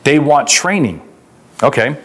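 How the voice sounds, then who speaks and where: A man's voice: medium pitch, animated, a little slow, fairly bright tone, husky. A man with an American accent giving an explanatory legal lecture in an emphatic, conversational way, often posing questions and answering them as an instructor.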